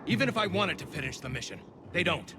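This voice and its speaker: An alien military lieutenant in a video game, dutiful and weighed down by remorse. His voice sits a little high for a man. He speaks formally and earnestly over clear battlefield noise. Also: very animated, fast talker. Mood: sombre, regretful. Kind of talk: dramatic video game acting. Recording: noisy battlefield ambience behind voice.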